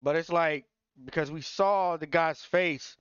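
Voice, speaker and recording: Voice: mid-range voice, measured pace, fairly bright tone, highs cut off, slight background noise. A game streamer giving casual live commentary in an informal, slangy, incredulous way. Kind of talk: casual live let's play commentary, reading dialogue aloud.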